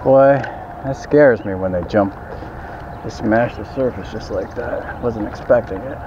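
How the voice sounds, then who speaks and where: A man fishing from a kayak on an outdoor lake, casually commenting to himself and a friend, picked up by an action camera. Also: medium pitch. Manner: talks to himself and his buddy while fishing, quick exclamations. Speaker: fishing vlogger. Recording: dull action-cam audio with water and wind noise.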